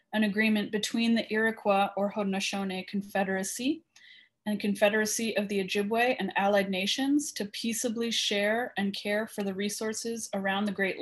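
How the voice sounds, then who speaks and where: A woman with a Canadian accent, a guest speaker at an online meeting, reading a prepared personal statement over a video call. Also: medium pitch.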